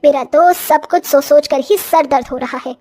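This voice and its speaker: A woman voicing a short older village mother-in-law in a cartoon story, complaining and exclamatory but grudgingly soft-hearted. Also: high-pitched, normal speed, lightly acted. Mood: grumbling. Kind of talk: Hindi cartoon story voice acting.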